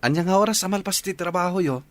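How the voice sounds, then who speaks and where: A man's voice: sits high, fast talker, lightly acted. A man playing a devoted, slightly shy young boyfriend in a radio drama, speaking politely and hesitantly with an Ilocano accent. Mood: friendly, planning.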